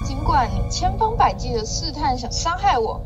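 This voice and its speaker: A haughty thousand-year-old fox spirit in scholar's guise speaks through a woman in a fairly high voice, with lofty literary phrasing. It is radio drama, with music clearly audible underneath. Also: sing-song, moderate pace, lo-fi sound. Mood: defiant, boastful. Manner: haughty, self-assured, literary speech. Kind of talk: radio play acting. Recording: music loud behind the voice.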